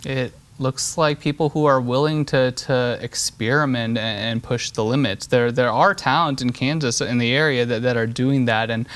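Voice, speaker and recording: A man's voice: mid-range voice, steady tone, moderate pace, few pauses, a bit bright. A man in his thirties, from Switzerland, answering political interview questions earnestly, often repeating words as he thinks. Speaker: state representative guest.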